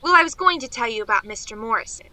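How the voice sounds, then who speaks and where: A woman's voice: a little high, expressive, quick pace, pushed and bright, rough recording. A woman playing a naive, eager young niece in a radio play, chattering on in rambling run-on sentences. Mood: eager, chatty.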